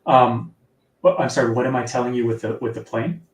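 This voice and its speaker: A man with a soft, sleepy-sounding voice, chatting casually as an author guest in a live interview. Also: mid-range voice, fairly even intonation, a little fast. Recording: echoey room, distant from mic.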